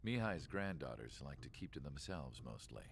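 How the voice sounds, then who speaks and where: An actor playing a reflective military researcher who narrates the past in a measured, wistful, gravelly voice for a game. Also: male voice, extremely low pitch, big pitch swings, a little hiss or hum, heavily acted. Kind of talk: scripted cinematic narration.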